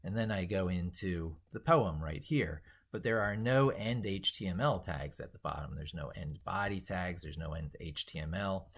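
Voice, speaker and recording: A man hosting a casual tech livestream, thinking aloud in a rambling, emphatic way with a gravelly, husky voice. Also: low-pitched, lively intonation, moderate pace, few pauses. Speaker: livestream host.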